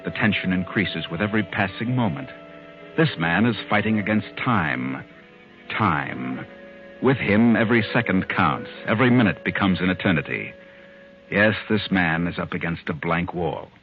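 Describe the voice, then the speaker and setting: Sinister storyteller, deliberate and ominous, 1940s radio mystery narration, thin vintage sound, music behind. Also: male voice, low-pitched, lively intonation. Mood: tense, ominous. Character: sinister, mysterious male storyteller who walks by night. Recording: narrow-band old radio recording with music.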